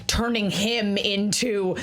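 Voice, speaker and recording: A woman's voice: mid-range voice, fairly even intonation, fairly bright tone. Gushing woman on a studio panel. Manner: eager, gushing, quick asides. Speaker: panelist on a film news show. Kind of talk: casual panel chat. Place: TV studio set. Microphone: desk microphone.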